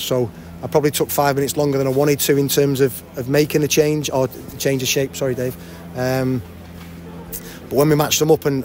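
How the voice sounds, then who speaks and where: A man in his forties, a football manager, giving a post-match interview at a stadium with a handheld mic. He talks fast and often restarts and repeats words. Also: mid-range voice, a little mellow, a little hiss or hum. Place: outdoors by a cafe banner at a stadium.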